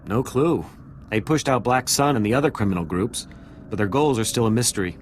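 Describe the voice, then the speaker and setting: An actor playing a nervous, jumpy security officer in a video game, with an anxious, hesitant way of talking. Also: male voice, mid-range voice, a little fast, slight background noise, close to an everyday voice. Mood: earnest, uneasy. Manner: hesitant, anxious, hushed urgency. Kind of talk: video game character acting.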